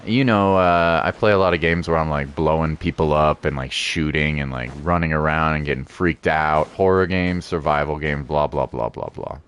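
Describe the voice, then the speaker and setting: A man's voice: rumbling low, moderate pace, few pauses, fairly bright tone, smooth. A man chatting casually as a game streamer, with an easygoing, rambling and playful way of talking. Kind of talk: casual livestream chat.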